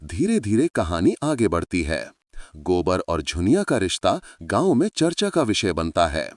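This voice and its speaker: A man's voice: deep voice, wildly swooping pitch, unhurried, no room sound, clean studio sound. A man narrating a story in a dramatic scripted style, speaking to his listeners as friends.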